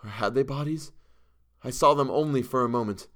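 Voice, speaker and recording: A man voicing a terrified, half-mad character in a horror story, dramatic and drawn-out, swinging between gasps and hysterical cries. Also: mid-range voice, fairly bright tone, voice pushed up, natural voice. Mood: haunted, awed.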